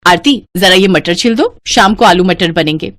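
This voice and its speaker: A woman's voice: on the low side, normal speed, fairly bright tone, close to an everyday voice. A woman voicing a chatty, bossy elder sister-in-law in a cartoon family story, with a breezy, familiar manner. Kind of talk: Hindi cartoon story dialogue.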